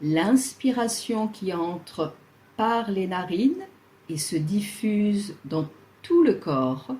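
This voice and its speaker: Slow, mellow woman guiding deep relaxation. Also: very animated. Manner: soothing, measured, with long pauses between phrases. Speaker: yoga nidra instructor. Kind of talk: guided relaxation meditation, scripted instruction.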